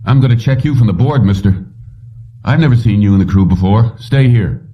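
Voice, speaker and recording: A man voicing a gruff, suspicious factory foreman in an audiobook, giving clipped, impatient orders and questions. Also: on the low side, brisk, distant mic, highs cut off, pitched above the performer's own voice, lightly acted. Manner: brusque, clipped commands and questions. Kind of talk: audiobook character dialogue.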